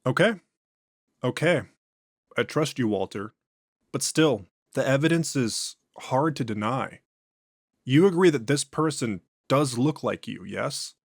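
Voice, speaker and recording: A man's voice: medium pitch, expressive, measured pace, vocal fry, long pauses, no echo, clean studio sound. A man voicing an earnest male interrogator who questions a prisoner at a secure facility. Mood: persuasive.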